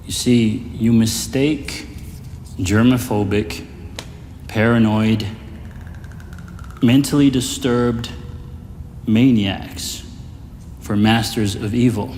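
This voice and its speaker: An actor playing a calm, condescending mastermind of evil, delivering a measured, lecturing dramatic monologue. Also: male voice, lowish voice. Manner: measured, lecturing, quietly superior. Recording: echoey hall-like reverb, faint noise.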